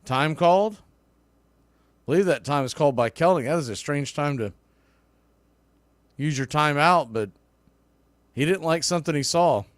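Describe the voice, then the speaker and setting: A man with a fairly high voice works as a baseball announcer in a dry, close sound. He gives live radio commentary in short, clipped phrases, ready to lift his energy with the play. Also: moderate pace, long pauses, no room sound. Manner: clipped play-by-play phrasing, bursts of excitement on hit balls.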